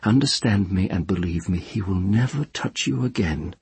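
A man reading a tender, fiercely protective woman comforting a frightened child, soft and murmuring at times. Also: deep voice, expressive, normal speed, vocal fry, husky, heavily acted. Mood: fiercely soothing.

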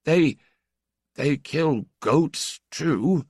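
A man's voice: mid-range voice, sing-song, drawn-out words, creaky, no echo, studio quality, voice pushed up, heavily acted. A man voicing a timid little old village priest who speaks hesitantly and stammers.

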